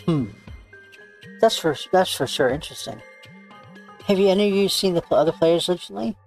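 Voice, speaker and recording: A man's voice: high-pitched, animated, normal speed, darkish tone. Guy doing a bossy gunslinger girl from a visual novel, boastful, blunt, faint music under it. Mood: practical. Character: cocky, bossy young woman gunslinger and tracker. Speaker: streamer reading a visual novel aloud. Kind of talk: character read-aloud of game dialogue. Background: background music.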